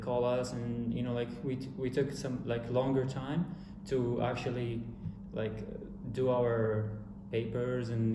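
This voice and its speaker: A young man from Syria talks through his answers in a loose, rambling way, full of fillers and restarts. His voice sits at an ordinary pitch. The room rings with echo, and a steady background noise is audible. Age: young adult. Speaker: interviewed student. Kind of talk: casual interview answers. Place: indoor studio room with a cartoon backdrop. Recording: echoey room with noisy background.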